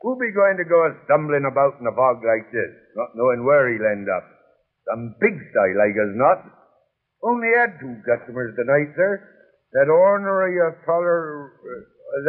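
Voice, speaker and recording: An actor playing a superstitious, talkative country innkeeper with a West Country English accent, in 1930s radio style. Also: male voice, mid-range voice. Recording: muffled, lo-fi 1930s radio recording.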